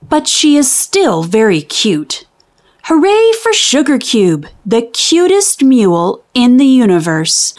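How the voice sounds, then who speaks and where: A woman reads aloud for young children in a fairly high voice with very lively swings of pitch. She takes her time, enunciating each phrase deliberately like someone teaching early readers. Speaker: children's read-aloud host. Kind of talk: expressive storybook reading for young children.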